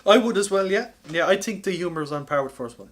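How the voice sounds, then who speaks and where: A man's voice: high-pitched, animated, quick pace. A man bantering casually as a podcast co-host.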